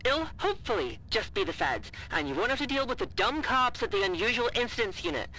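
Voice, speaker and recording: An actor in an audio drama playing an anxious, apologetic colleague, halting and defensive as he reports over the radio. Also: extremely high pitch, sing-song, sharp tone, heavily acted, low-quality recording. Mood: insistent, uneasy. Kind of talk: naturalistic audio drama dialogue.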